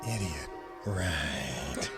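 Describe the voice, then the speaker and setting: An actor playing a dopey, halting, deadpan henchman in a radio comedy. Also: very deep voice, full character voice. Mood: dopey, meek. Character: slow-witted, deadpan male henchman. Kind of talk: old-time radio comedy acting. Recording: music behind the voice.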